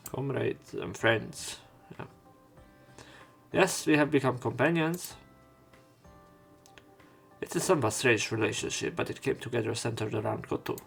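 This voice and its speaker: A man's voice: medium pitch, a little slow, gravelly, pauses often, fairly bright tone, slightly rough, soft background music. A man narrating a visual novel as a let's play, reading the story text aloud.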